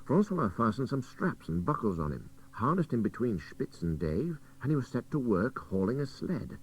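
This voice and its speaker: A man reading a literary adventure story aloud in measured, expressive, dramatic narration. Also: medium pitch, slightly rough. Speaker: story reader. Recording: old cassette radio recording, distant, faint hiss.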